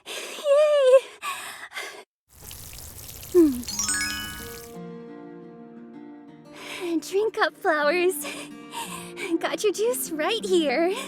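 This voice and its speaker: A woman voicing a lonely, sweet, timid young girl in a visual novel game, with a soft, breathy, childlike delivery. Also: very high voice, sing-song, extremely slow, long pauses, full character voice. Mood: cheerful. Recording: music behind the voice.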